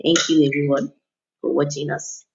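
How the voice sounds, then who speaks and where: A woman's voice: deep voice, level tone, moderate pace, gravelly, noisy background. A woman introducing herself as a podcast co-host, on a dull, lo-fi connection. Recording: dull, lo-fi audio.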